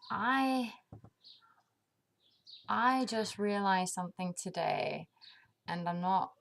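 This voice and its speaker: Slow, thoughtful woman from Denmark. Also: fairly low pitch. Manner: thoughtful, pauses often with ums, laughs at herself. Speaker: vlogger. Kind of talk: casual reflective monologue to camera.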